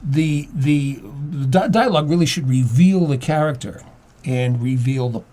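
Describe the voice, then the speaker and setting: An older man speaking as a guest in a conversational interview, explaining things haltingly and repeating his words as he goes. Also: medium pitch, warm tone. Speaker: guest playwright and acting teacher.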